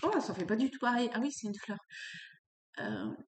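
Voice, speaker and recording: A woman with a cold doing an unscripted tarot reading, pausing often and searching for words. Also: a little high, wildly swooping pitch, reverberant. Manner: halting, searching for words with many fillers. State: has a cold. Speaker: tarot card reader.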